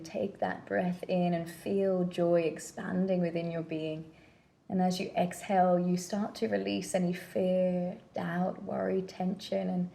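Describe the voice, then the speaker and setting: A woman leading a guided meditation with gentle, unhurried instruction and pauses between phrases. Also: on the low side, vocal fry.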